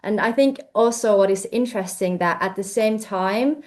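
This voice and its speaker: Young Finnish-accented woman, researcher presenting, halting delivery with many fillers, average pitch. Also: a little mellow. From Finland. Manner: hesitant, many fillers and restarts. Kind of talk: presentation talk.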